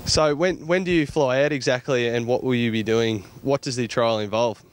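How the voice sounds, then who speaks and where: An Australian man presents sport in a news style. His voice sits at a middle pitch, and he moves between scripted reporting and informal interview questions. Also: fairly even intonation, normal speed, clean tone.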